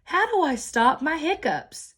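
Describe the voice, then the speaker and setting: A woman voicing a young male kitten with the hiccups, asking in an eager, high voice in a storybook. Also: sing-song, a little slow, fairly bright tone, heavily acted.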